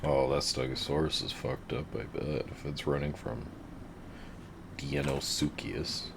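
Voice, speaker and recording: A man with a very deep voice giving laid-back, rambling, profane commentary over his game. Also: flat delivery, measured pace, vocal fry, noisy background. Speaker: gamer narrating his play. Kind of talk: casual gameplay commentary.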